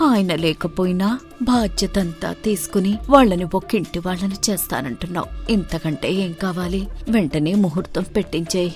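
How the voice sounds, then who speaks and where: A woman voicing a trusting, gentle widowed village mother in a story, soft-spoken and agreeable. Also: lowish voice, moderate pace, soft background music, close to an everyday voice. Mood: pleased, trusting.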